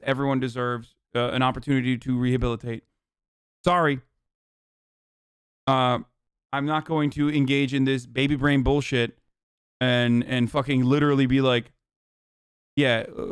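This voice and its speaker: An argumentative man doing live reaction commentary on his stream. His voice is crisp and fairly high, recorded dry. He sounds exasperated and swears freely. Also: a bit bright, dry recording. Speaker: streamer host.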